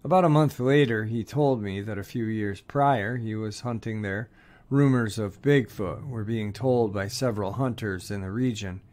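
A man with a fairly low voice narrates other people's strange encounters in the woods. He reads them as first-person accounts, keeping a steady, measured storytelling tone throughout. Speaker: narrator reading listener encounter stories.

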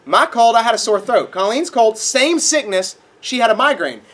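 A young man preaching a sermon in a dark auditorium, a little nasal from a cold, animated and acting out voices in his stories. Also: extremely high pitch, moderate pace. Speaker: pastor. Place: dark auditorium with projected screen.

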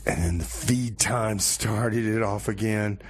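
A man's voice: lowish voice, takes it slowly, a little hiss or hum. A man hosting a radio music show, bantering casually in a laid-back, conversational way.

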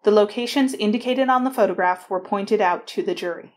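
A woman narrates in a formal, scripted style. Her voice sits at a medium pitch as she sets out proceedings for an audio drama. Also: a little fast.

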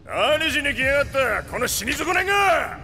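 An actor playing a menacing yakuza boss in a video game cutscene, taunting and swaggering with explosive outbursts. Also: male voice, extremely high pitch, very animated, normal speed, full character voice. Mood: manic rage. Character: menacing, sneering male yakuza boss with a gun. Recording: loud music behind.

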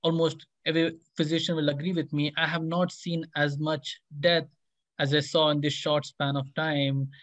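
A man's voice: on the high side, little pitch movement, normal speed, a bit bright, no echo. A man speaking as a physician on a video call, somber and reflective. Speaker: nephrologist. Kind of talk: panel discussion.

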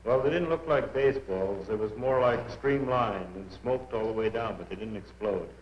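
A man's voice: lowish voice, moderate pace. A man giving an informal, colloquial airman's account in a debriefing. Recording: echoey, dull 1940s film with faint noise.

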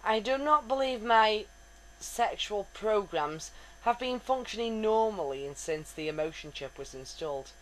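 A woman's voice: mid-range voice, lively intonation, unhurried, close to an everyday voice, rough recording. A woman voicing a formal, stiff male android officer who speaks precisely, without contractions.

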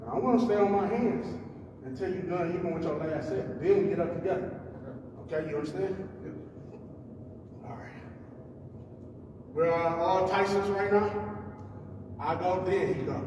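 A man explains a workout drill in plain, easygoing coaching talk, laying out each step. His slightly raised voice carries from far off across a large indoor gym, with a long ringing echo and steady background noise. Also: on the high side, normal speed, long pauses, dull audio. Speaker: fitness coach. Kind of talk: casual workout instruction. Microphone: far camera.

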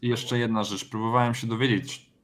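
A man's voice: medium pitch, level tone, brisk, a crowd in the background. A man playing a tabletop role-playing game, improvising dialogue in character.

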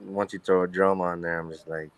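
A baritone man being interviewed as a guest musician over a video call, talking in a halting, rambling way with many restarts. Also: level tone, clean tone. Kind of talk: casual interview chat. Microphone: webcam on a video call.

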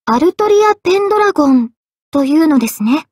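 A woman playing an earnest, polite, quietly self-deprecating young female mage in expressive anime game voice lines. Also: high voice, fairly quick, no echo, clean studio sound. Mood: subdued, apologetic. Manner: polite, expressive, shifting between excited and subdued.

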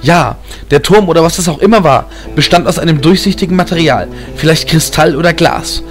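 A man's voice: a little high, brisk. A man reading a fantasy story as a dramatic audiobook narrator. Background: music bed.

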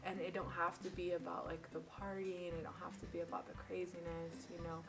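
A woman in her twenties sharing her story casually as a panel guest, talking in quick animated bursts. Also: fairly low pitch, far from the mic. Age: 20s. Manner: animated, fast bursts with 'like' and 'you know'. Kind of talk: casual testimony. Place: small wood-paneled studio room.

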